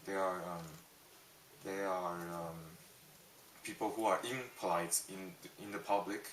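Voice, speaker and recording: A man's voice: low-pitched, fairly even intonation, a little slow, a bit bright, a little hiss or hum. A young man from Taiwan answering interview questions, hesitant, with many ums and restarts. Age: young adult. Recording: roomy, distant mic.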